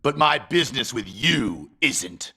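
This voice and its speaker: A man playing a hot-tempered wrestling challenger in a video game, gravelly and confrontational, with emphatic phrasing. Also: medium pitch, sing-song, unhurried, pushed and bright, heavily acted. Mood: cold, threatening. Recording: noisy game capture.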